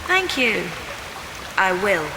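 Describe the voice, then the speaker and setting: Game heroine: cool British adventuress, dry wit, expressive delivery, noisy ambience behind. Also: female voice, medium pitch, takes it slowly, creaky, full character voice. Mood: cool, probing. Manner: dry, poised, wry. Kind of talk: video game cutscene acting.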